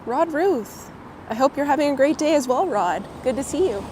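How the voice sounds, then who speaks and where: A woman a bit hoarse from a cold, chatting live with viewers and greeting them by name while she walks outdoors with a clip-on mic. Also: sits high, animated, normal speed, a little mellow, low-quality recording. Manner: friendly, greets viewers by name, laughs often. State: slightly hoarse, getting over a cold. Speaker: live-streaming walking vlogger. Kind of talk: casual live-stream chat while walking. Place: city street outdoors, windy. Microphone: clip-on mic on coat. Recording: outdoor street recording with traffic noise. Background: city traffic and wind.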